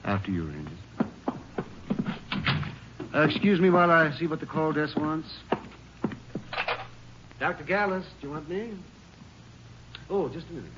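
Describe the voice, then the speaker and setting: Worried doctor, higher-pitched voice. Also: male voice, sing-song, unhurried, pauses often. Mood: hesitant. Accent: American. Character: worried, earnest psychiatric hospital doctor. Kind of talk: 1950s radio drama acting. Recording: muffled, noisy old radio recording.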